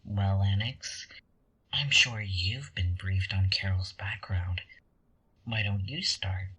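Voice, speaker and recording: Someone playing a breathy, slow, conniving angel. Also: low voice, a bit bright, heavily acted. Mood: sly taunting. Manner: theatrical, condescending, drawn-out, deliberate delivery. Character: conniving manipulative angel, an ethereal being, smug and taunting. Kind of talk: sci-fi audio drama acting.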